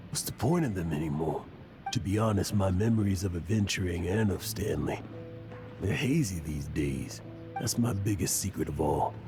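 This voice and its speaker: A weary, gravelly old storyteller. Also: male voice, sits low, exaggerated voice. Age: older. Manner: halting, confessional, turning emotional. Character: weary old male tavern storyteller, ashamed of himself. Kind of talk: game voice acting. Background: game music.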